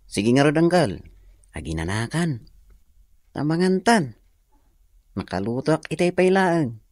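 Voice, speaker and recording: An actor playing a caring, dutiful young nephew in an Ilocano radio drama, earnest, with an Ilocano accent. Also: male voice, a little high, sing-song, measured pace, a little mellow, no echo, clean studio sound. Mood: caring, gentle.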